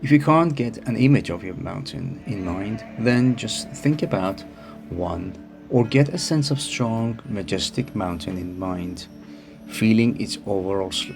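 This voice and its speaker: A man narrating a guided meditation in a calm, soothing manner with unhurried phrasing. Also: fairly low pitch, vocal fry. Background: music behind the voice.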